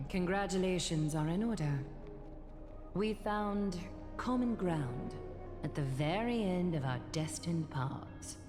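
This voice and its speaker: A woman voicing a burnt-out, resolute thousand-year-old female leader in a video game, grave, measured and restrained. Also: lowish voice, expressive. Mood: quietly amused. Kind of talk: scripted game voice acting. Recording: long hall reverb, loud music.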